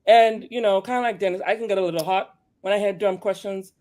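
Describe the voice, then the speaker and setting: This is a podcaster from Nigeria speaking in a mid-range voice on a panel discussion. The delivery is animated and emphatic, driving points home with rhetorical questions. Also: medium pitch, fast talker.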